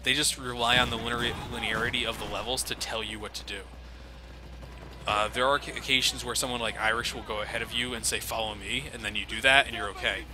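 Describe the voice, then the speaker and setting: A man's voice: medium pitch, steady tone, quick pace. A man giving sarcastic, casual commentary over his own gameplay, swearing freely. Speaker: let's play commentator. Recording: game noise underneath.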